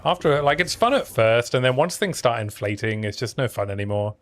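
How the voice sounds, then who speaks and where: A man's voice: medium pitch, brisk. Chill guy streaming a game, soothing sleep-story kind of voice, answers chat as he goes. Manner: relaxed, chatty, answering chat between plays. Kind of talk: casual live-stream commentary while playing.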